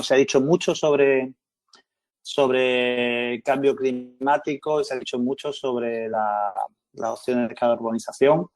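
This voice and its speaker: A man's voice: medium pitch, a little mellow, no echo. A man from Spain presenting his company on a webinar panel, fluent and businesslike, with occasional restarts. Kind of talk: online presentation.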